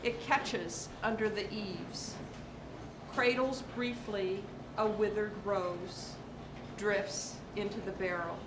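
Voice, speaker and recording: A woman reading a poem aloud in a measured style. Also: medium pitch. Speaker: poetry reader. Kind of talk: slow, measured poetry reading. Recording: echoey room with clear background noise.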